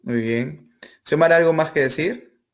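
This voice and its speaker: A man's voice: fairly high pitch, measured pace. A man with a Peruvian accent hosting a casual live interview, polite and hesitant, often repeating his phrases as he goes. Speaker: interview host.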